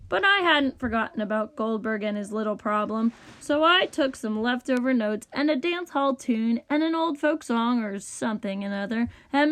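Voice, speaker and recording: High-voiced woman reading a storybook. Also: a bit bright. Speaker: read-aloud reader. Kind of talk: expressive children's storybook narration.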